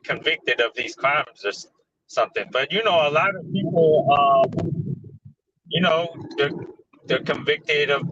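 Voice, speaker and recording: Hesitant bright-voiced man in his twenties. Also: a little high, highs cut off. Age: 20s. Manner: hesitant, lots of fillers and restarts. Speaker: guest. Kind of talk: casual chat.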